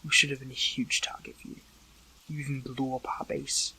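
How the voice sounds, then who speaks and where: A man playing an earnest, hurt captive superhero in an audio roleplay, speaking in halting, pleading phrases. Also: mid-range voice, little pitch movement, vocal fry, pushed and bright, a little hiss or hum, rough recording. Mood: challenging, frustrated. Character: captive male superhero, earnest, hurt, questioning an old friend. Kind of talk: dramatic audio roleplay acting, one side of a dialogue.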